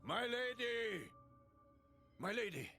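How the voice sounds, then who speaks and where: An actor voicing a devoted, anxious male attendant in a video game, formal and urgent with a gravelly rasp. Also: very high voice, sing-song, takes it slowly, pushed and bright, soft background music, full character voice. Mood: alarmed. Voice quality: raspy, gravelly. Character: devoted, anxious male attendant fretting over his lady. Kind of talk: dramatic video game acting.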